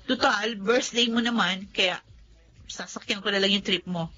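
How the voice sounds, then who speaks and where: A woman's voice: medium pitch, measured pace, sharp tone, highs cut off, faint music behind. A woman voicing a sassy, teasing young woman at a birthday party. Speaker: Nikki, party guest.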